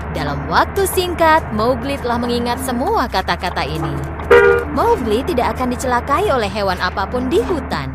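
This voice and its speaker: A woman narrating a scripted children's story in an expressive, clearly phrased way. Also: fairly high pitch.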